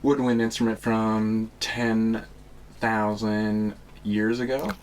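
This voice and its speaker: A man's voice: on the low side, level tone, takes it slowly, darkish tone, poor audio. A young man hosting a podcast, giving rambling, casual explanations and often searching for words. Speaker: podcast host. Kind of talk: casual chat.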